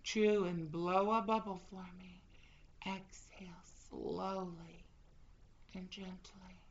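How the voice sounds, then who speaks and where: A woman speaks slowly and deliberately, her tone teasing yet commanding. The audio is slightly dull and muffled. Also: on the low side, steady tone, noisy background. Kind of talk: scripted audio roleplay.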